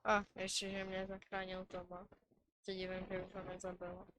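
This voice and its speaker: A woman's voice: mid-range voice, monotone, a little fast, background noise. A woman giving casual gameplay commentary as a game streamer. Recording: loud game music over voice. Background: loud game music.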